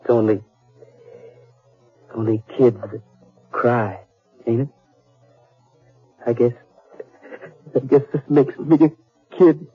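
An American actor playing an earnest, guilt-ridden cowboy brother in a 1950s radio western, husky and halting. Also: male voice, mid-range voice. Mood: grief-stricken. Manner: halting, pleading. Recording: tinny, narrow 1950s radio recording, music.

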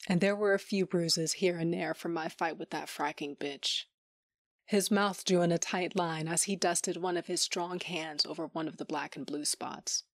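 A woman's voice: lowish voice, fairly even intonation, moderate pace, no echo, studio quality, close to an everyday voice. A woman playing a tough, sardonic young fighter who tells her own story intimately in an audio drama, sometimes dropping to a whisper. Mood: intimate, wry. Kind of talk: first-person audio drama narration.

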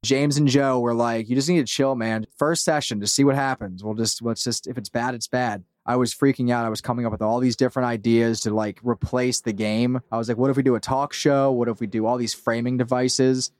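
A man's voice: mid-range voice, steady tone, brisk, hardly any pauses, no echo, studio quality. A man being interviewed as a podcast creator, talking casually and self-deprecatingly, often quoting people as he goes. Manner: informal, self-deprecating, quotes people as he talks.

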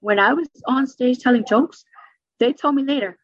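A middle-aged stand-up comedian with a New York accent tells her stories quickly and with lively energy. Her slightly high voice is husky and comes through dry and a little dull, like a lo-fi recording. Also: no room sound. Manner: fast, animated storytelling with laughs. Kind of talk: casual interview chat.

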